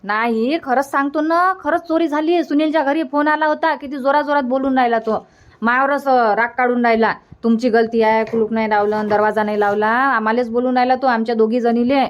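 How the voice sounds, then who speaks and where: A woman's voice: a little high, steady tone, brisk, lightly acted. A woman voicing an earnest, flustered younger village sister-in-law in a comic cartoon, chatty and insistent, with a Varhadi Marathi accent. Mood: alarmed, insistent. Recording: dull, lo-fi sound.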